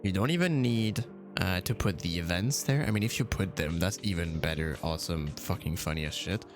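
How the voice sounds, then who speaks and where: A man's voice: low-pitched, fairly quick, music in the background. A man talking casually and bluntly on a gaming podcast, swearing freely. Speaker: podcast panelist.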